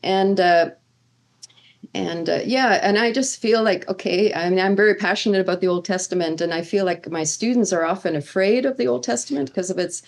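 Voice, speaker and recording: A woman's voice: mid-range voice, brisk, vocal fry. A middle-aged woman originally from Sweden, a seminary professor, answering interview questions earnestly with many fillers and restarts. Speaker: seminary professor of Old Testament.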